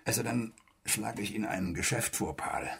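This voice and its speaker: A stern middle-aged army major in a radio drama, with a very rough, husky voice that sits low. He is bitter and menacing, biting off threats and insults. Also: moderate pace, fairly bright tone, heavily acted. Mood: bitter, restrained. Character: stern, threatening East German army major, embittered father. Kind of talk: German radio play dialogue.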